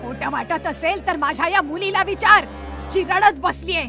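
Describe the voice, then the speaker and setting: A woman playing a vengeful, bitter female ghost in a horror story, with slow, menacing, drawn-out delivery. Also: very high-pitched, bright, forceful tone, soft background music, heavily acted. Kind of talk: horror cartoon acting.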